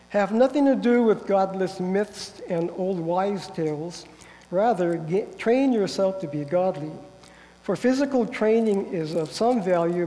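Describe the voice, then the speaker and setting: A man preaching a sermon while getting over a head cold, so he sounds nasal and stuffy, speaking carefully and earnestly and clearing his throat now and then. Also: high-pitched, dark tone. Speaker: guest preacher. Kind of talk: sermon with Bible reading. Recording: long hall reverb.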